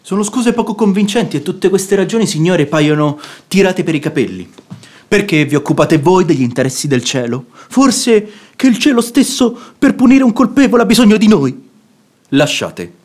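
An actor playing a frank, reasonable, righteous gentleman in a radio drama, arguing eloquently and emphatically. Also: high-pitched, animated, moderate pace. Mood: indignant. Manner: eloquent, emphatic, rhetorical questioning. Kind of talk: theatrical Italian radio drama acting.

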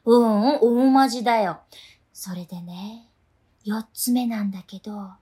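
A woman's voice: on the high side, a little slow, mellow, slightly rough. An actress playing an oblivious, unflappable schoolgirl who speaks earnestly and breezily in a comedic radio drama. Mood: breezy. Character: unflappable, airy schoolgirl telling school ghost stories.

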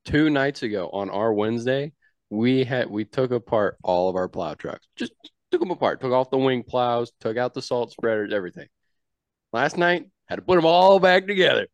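A man's voice: medium pitch, expressive, normal speed, dry recording. A man co-hosting a sports podcast, chatting casually in a slangy, joking way. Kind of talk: casual chat.

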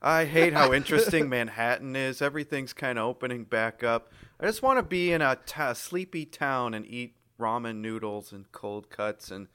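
A man's voice: mid-range voice, expressive, normal speed, few pauses, sharp tone, clear, resonant tone. A man from New York co-hosting a podcast over a video call with wry, teasing comedic riffs. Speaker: podcast co-host. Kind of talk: casual comedic chat.

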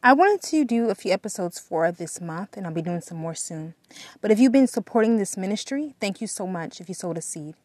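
Female faith podcast host, mid-pitched, earnest and exhorting, repetitive for emphasis, close dry recording. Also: lively intonation, brisk, a little mellow, dry recording. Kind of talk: devotional encouragement talk with prayer.

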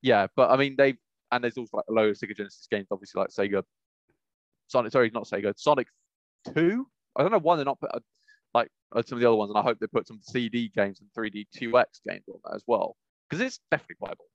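A man's voice: mid-range voice, fast, no room sound, dull audio. A British man co-hosting a podcast in casual chat, rambling and often correcting himself as he goes. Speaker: podcast co-host.

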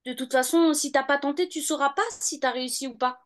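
High-voiced woman in her thirties. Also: fairly even intonation, brisk. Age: 30s. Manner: hesitant, many fillers and restarts. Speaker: law student interviewee. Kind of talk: casual interview answers.

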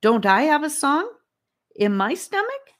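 A woman's voice: high-pitched, big pitch swings, a little slow, fairly bright tone, voice pushed up, heavily acted. A woman reading a storybook, voicing a self-centred young boy eager for fun and attention. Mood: pleading.

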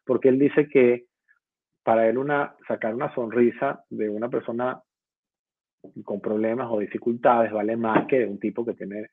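Husky man on a tinny line. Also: mid-range voice, fairly even intonation, no room sound. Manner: reflective, halting with fillers. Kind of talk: casual conversation.

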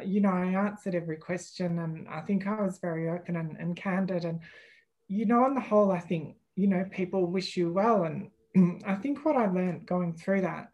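Thoughtful Australian woman, lowish voice. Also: darkish tone, lo-fi sound. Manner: thoughtful, hesitant, many fillers and restarts. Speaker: guest, employment lawyer. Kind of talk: podcast interview.

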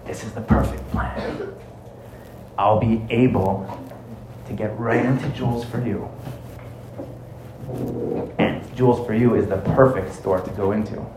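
A man voicing a confident, scheming thief in a story, muttering deliberately to himself. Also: on the low side, fairly even intonation, pitched below the performer's own voice, close to an everyday voice. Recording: roomy echo, light background noise.

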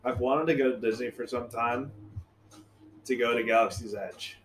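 A young man talks bluntly in casual chat, swearing freely and teasing his friends. His voice is mid-pitched, with a faint hum of room ambience underneath. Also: normal speed.

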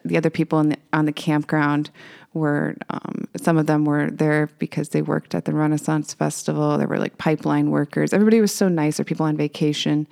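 A singer-songwriter woman, a little raspy today, chatting casually between songs and telling rambling stories with fillers. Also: sits low. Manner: rambling, warm storytelling with fillers.

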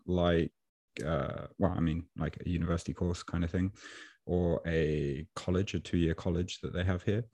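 A man who coaches fitness online, talking as a podcast guest over a video call and telling stories in a loose, animated way with laughs. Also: low-pitched, gravelly. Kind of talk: casual storytelling interview. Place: Japan.

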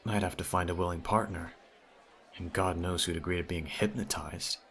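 A man's voice: sits low, fairly even intonation, moderate pace, a bit bright, slight background noise. A man playing a teasing, smitten teenage childhood friend in an ASMR audio roleplay, talking straight to the listener in a conversational way. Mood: shy, fascinated.

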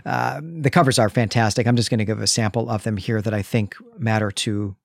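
A man's voice: lowish voice, fairly even intonation, fairly quick, vocal fry, no room sound. A man hosting a podcast, explaining things conversationally and often checking in with the listener. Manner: explanatory, checks in with "right?" and "you know". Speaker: podcast host.

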